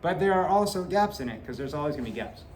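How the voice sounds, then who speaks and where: A man's voice: fairly high pitch, expressive, quick pace, a little mellow, slight background noise. Earnest male teacher in echoey classroom. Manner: earnest, gestures a lot, many "uh" fillers. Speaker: seventh grade teacher. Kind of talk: back-to-school talk to parents. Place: classroom in front of a whiteboard. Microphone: fixed camera at a few meters.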